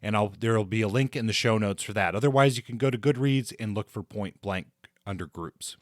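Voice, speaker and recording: A man's voice: on the low side, fairly quick, no echo, studio quality. A man co-hosting a podcast, discussing books in an earnest, explanatory, conversational way. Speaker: podcast co-host.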